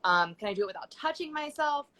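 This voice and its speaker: A woman's voice: high voice, expressive, fast talker, sharp tone. A young woman chatting casually as a guest on a live video call, talking loosely with many fillers. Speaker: guest sex educator. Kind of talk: casual chat on a live stream. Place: home.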